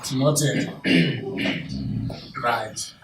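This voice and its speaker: A man with a Zimbabwean accent playing a bride's family spokesman, speaking formally and deliberately in a dramatized bride-price ceremony. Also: mid-range voice, fairly bright tone. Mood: formal, introducing. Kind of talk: acted ceremonial negotiation speech. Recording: roomy, distant mic with background noise.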